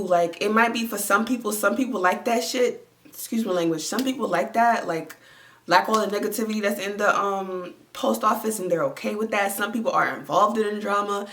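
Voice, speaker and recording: A young woman with a congested voice, chatting in a rambling, filler-heavy way as she reads viewer comments from home. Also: mid-range voice. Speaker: vlogger. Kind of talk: casual chat reading viewer comments. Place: at home, lying down.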